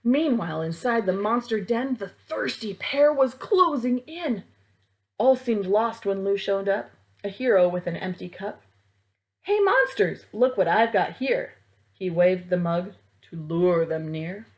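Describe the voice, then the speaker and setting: A woman reading a rhyming picture book aloud to children in a theatrical, deliberate way, as a library storytime reader. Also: medium pitch.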